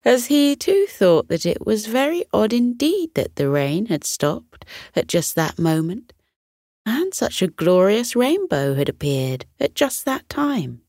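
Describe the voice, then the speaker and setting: A woman narrating a children's bedtime story in a soothing, deliberate, expressive storytelling style. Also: medium pitch, no room sound, clean studio sound.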